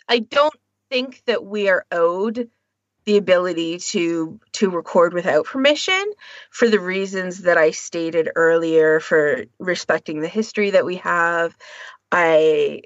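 A woman's voice: on the low side, measured pace, no echo, highs cut off. A woman co-hosting a podcast, talking casually and informally with firm opinions of her own. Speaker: podcast co-host.

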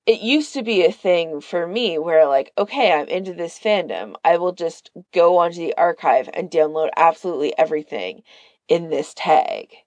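A woman's voice: on the low side, animated, moderate pace, a little mellow, no echo. A woman from Canada chatting casually as a podcast host, rambling and peppering her talk with "like". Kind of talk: casual chat.

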